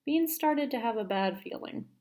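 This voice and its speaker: A woman's voice: on the high side, expressive, normal speed, a little mellow. A woman narrating a children's story aloud, as a teacher reading to pupils.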